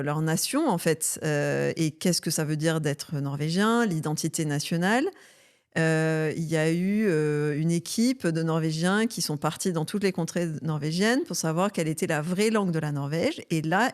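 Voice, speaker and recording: A middle-aged woman from Marseille speaks with a slightly low voice and a southern French accent. She is a podcast guest, explaining at length in an animated, storytelling way. Her talk is full of lists and asides. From France. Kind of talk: podcast conversation.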